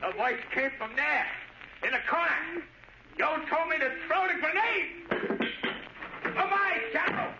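High-pitched, unhinged young killer. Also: male voice, animated, normal speed, pauses often, bright, forceful tone. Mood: frantic, defiant. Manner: agitated, slangy, obsessive, childlike. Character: unstable young killer obeying his dead brother. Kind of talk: old-time radio thriller acting. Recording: noisy, muffled vintage radio recording.

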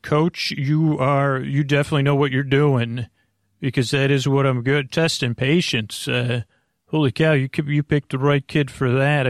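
Male sleep podcast host, creaky and gravelly, mid-range pitch, close dry sound, halting and meandering delivery. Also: dry recording. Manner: meandering, halting, self-deprecating. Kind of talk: rambling, off-topic bedtime monologue.

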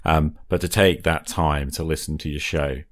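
A man's voice: very deep voice, steady tone, normal speed. A man hosting a casual conversational interview, speaking in a reflective, affirming way. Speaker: podcast host, interviewer.

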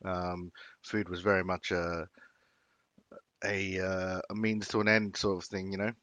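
A man's voice: deep voice, flat delivery, a little slow, fairly bright tone, no room sound. A man, talking casually in an interview, with many fillers and restarts. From Philippines, raised in Sydney. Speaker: chef.

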